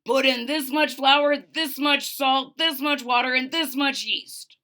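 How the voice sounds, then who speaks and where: A woman voicing a bossy, dismissive village baker and father in a storybook, giving orders in an emphatic, drawn-out way. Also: high voice, sharp tone. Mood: instructing.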